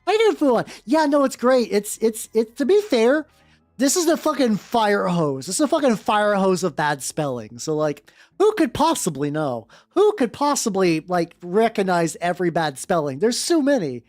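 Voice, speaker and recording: A woman's voice: mid-range voice, very animated, normal speed, a little mellow, no echo, clean studio sound. A woman hosting a live stream, chatting casually and reading fanfiction aloud with sweary, slangy, wry asides. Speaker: streamer, vtuber host. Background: background music.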